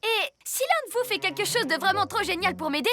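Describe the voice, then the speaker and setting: A woman's voice: extremely high pitch, animated, a little fast. A woman voicing a confident, caring six-year-old superhero girl in a children's cartoon, assured and encouraging. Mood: determined. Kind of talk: animated children's cartoon dubbing. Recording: music under the voice.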